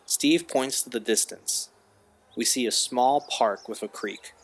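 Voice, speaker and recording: A man's voice: mid-range voice, measured pace. A man narrating scripted stage directions for an audio drama.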